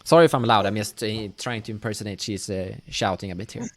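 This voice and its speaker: A man narrating a tabletop fantasy game as game master, improvising haltingly and often repeating words. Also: fairly low pitch, expressive, no room sound.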